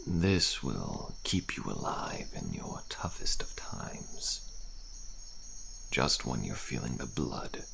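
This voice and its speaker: A very deep, gravelly fantasy deserter. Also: male voice, sharp tone, slight background noise, full character voice. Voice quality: very deep, gravelly, breathy at times. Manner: slow, archaic, deliberate, often dropping to a murmur. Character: wary frail old deserter, helpful dark-fantasy wanderer. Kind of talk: ASMR audio roleplay.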